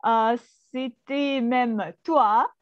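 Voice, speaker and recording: Russian-native woman talking french really slow, higher voice, dull dry sound. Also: no room sound. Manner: slow, careful, hesitant with fillers. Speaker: Russian teacher, guest. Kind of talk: interview answers in a second language.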